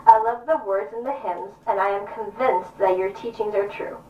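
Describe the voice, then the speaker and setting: A woman's voice: medium pitch, moderate pace, natural voice. Woman playing an earnest young convert, distant and echoey. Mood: earnest, determined. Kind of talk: stage puppet show acting. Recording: distant echoey muffled stage recording.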